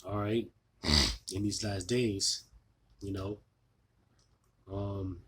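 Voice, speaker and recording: A man losing his voice, giving an informal scripture sermon, halting with many fillers and restarts. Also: lowish voice, flat delivery, breathy edge. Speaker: preacher.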